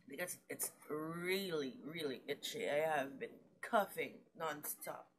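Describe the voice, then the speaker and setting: A young woman chats into her webcam from a small room indoors. Her voice is hoarse and pitched fairly low, and she drawls slowly, drifting from thought to thought with plenty of fillers. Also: a bit bright. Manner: slow, rambling, with fillers and pauses. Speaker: vlogger and mandolin player. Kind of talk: casual chat to camera. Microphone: webcam or laptop at desk.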